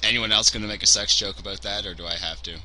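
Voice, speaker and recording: A man's voice: lowish voice, steady tone, quick pace, over a noisy crowd. A man co-hosting a casual livestream podcast, joking crudely, swearing and laughing at his own jokes. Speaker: podcast co-host.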